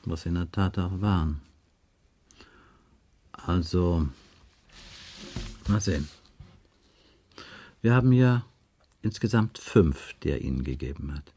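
A man's voice: low voice, slow, pauses often, warm tone. A man playing a patient, dry investigator in a radio crime drama, asking terse, probing questions and musing as he goes. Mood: methodical, probing. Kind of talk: 1980s German radio drama dialogue.

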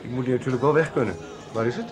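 An actor playing a naive, needy crook in a radio soap, protesting and pleading. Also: male voice, medium pitch, very fast, music in the background, lightly acted. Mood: insistent. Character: needy, naive male crook wanting his cut. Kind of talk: 1990s Dutch radio soap acting.

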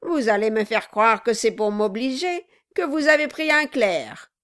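A woman voicing a malicious male dwarf in an audiobook, loud, commanding and mocking. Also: a little high, animated, a little slow. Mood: scornful bullying. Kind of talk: dramatized audiobook reading.

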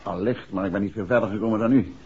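A man acting a calm, caring private detective in a 1960s radio drama, asking measured questions and reassuring. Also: lowish voice, slightly rough. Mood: businesslike. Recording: muffled old 1960s radio recording.

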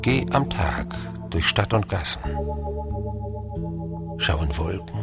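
Very slow, low-voiced man reciting poetry. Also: sing-song, gravelly. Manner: measured, in short separated phrases. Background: loud organ and synthesizer music.

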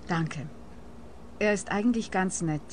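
A woman's voice: on the low side, unhurried, very noisy, lightly acted. Actress as a blunt, teasing young woman. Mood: cool, dismissive. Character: cool, blunt young woman fending off a suitor. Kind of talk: comic radio play acting.

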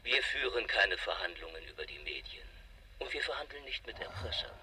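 A cold company spokesman in a radio play. His lowish voice is very sharp, with swooping, lofty emphasis in a rehearsed official manner. It comes as a slightly distant broadcast. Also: very animated, a little fast, a little hiss or hum, exaggerated voice. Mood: curt, scornful. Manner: pompous, curt, rehearsed. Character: cold, pompous male corporate spokesman. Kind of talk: formal press statement in radio drama.

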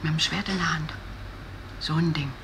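A man's voice: a little high, fairly even intonation, normal speed, lightly acted. An actor playing a man who tells a colloquial, confiding anecdote in a German radio drama. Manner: colloquial storytelling. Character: confiding male colleague telling a wild city anecdote. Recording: very noisy background with clicks.

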